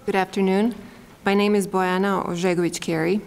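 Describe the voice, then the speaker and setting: A woman's voice: mid-range voice, flat delivery, brisk, gravelly, a little hiss or hum. A woman in her forties from Serbia with a Serbian accent, giving a confident opening statement as a school board candidate, with touches of dry humor.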